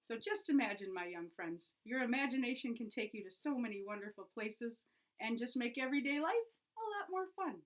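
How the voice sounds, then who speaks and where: A woman reading a picture book aloud to children in an expressive, teacherly storytelling way, engaging her young listeners. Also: fairly high pitch, poor audio. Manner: animated storyteller, pauses to ask young listeners questions.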